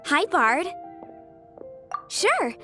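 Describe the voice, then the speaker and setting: A woman's voice: extremely high pitch, wildly swooping pitch, extremely slow, pushed and bright, full character voice. A woman voicing a sweet, dreamy, innocent little flower girl in a video game, childlike and guileless. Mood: cheerful, dreamy. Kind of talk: video game character acting. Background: music.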